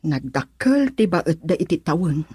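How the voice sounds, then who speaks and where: A worried middle-aged Ilocano mother in a radio drama. Her voice sits fairly low and is subdued and serious, recorded dry and close. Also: big pitch swings, unhurried, dark tone, husky, no room sound, full character voice. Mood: embarrassed, serious. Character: worried middle-aged wife and mother, concerned about gossip.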